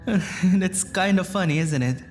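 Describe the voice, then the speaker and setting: An actress playing a tender but angry woman full of regret in an audio drama, speaking haltingly and with emotion. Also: fairly low pitch, a little mellow. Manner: halting, emotional, sighing pauses.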